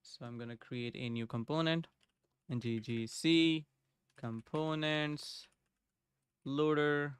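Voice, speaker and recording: A man's voice: on the high side, fairly even intonation, slow, long pauses, clean tone, no room sound. A young man streaming live from his home desk, thinking aloud as he codes and greeting viewers in the chat. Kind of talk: casual live coding chat. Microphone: desk microphone.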